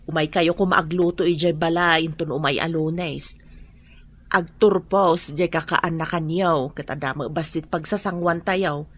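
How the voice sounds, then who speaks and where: A woman's voice: sits low, fairly even intonation, measured pace. An actress in an Ilocano radio drama playing a friendly, chatty mother, speaking with an Ilocano accent.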